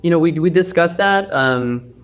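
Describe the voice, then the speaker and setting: A man's voice: on the high side, moderate pace, clear, resonant tone. Rambling man, actor guest on a podcast. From United States. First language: Mandarin. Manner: rambling, many fillers and restarts. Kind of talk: casual interview talk.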